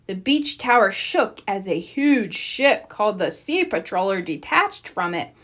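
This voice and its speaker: A woman narrating a children's storybook in an animated read-aloud style. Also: medium pitch, unhurried. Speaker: storybook reader.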